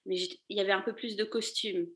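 A woman's voice: medium pitch, steady tone, quick pace, fairly bright tone. A woman, answering casually as an interview guest in a loose, meandering way. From Paris, France. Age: 30s. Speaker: podcast guest, fashion accessories designer.

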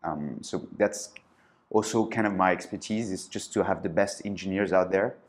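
A man's voice: low voice, normal speed. A man from France, telling his founder story in a casual interview, hesitant, with many fillers and restarts. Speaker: podcast guest, startup founder.

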